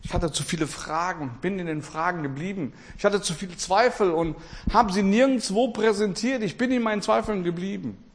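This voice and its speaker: A man from Portugal preaching a sermon with passion, repeating his phrases and swinging between loud and quiet. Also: sits high, brisk.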